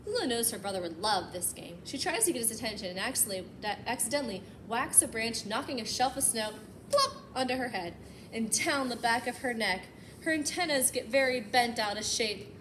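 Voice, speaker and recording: Woman doing kids storytime, kinda high voice, animated n chatty, lots of noise behind her. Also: a little fast. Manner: animated, emphatic delivery aimed at young children. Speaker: library storytime host reading a picture book aloud. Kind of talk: expressive children's read-aloud with chatty asides.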